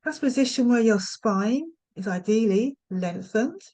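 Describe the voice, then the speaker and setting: A woman leading a guided meditation, speaking in a gentle, nurturing way with unhurried invitations. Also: a little high, expressive. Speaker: yoga nidra meditation guide.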